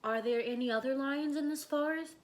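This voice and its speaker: A woman's voice: sits high, a little fast, natural voice. A woman voicing a brave, proud storybook lion with a kingly, confident and declarative delivery. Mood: calm, questioning.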